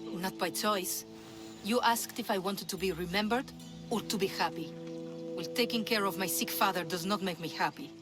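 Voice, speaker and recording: Female actor as bold young Greek woman, coy, proud, theatrical game acting, loud music behind. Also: mid-range voice, moderate pace, natural voice. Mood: earnest. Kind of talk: video game cutscene acting.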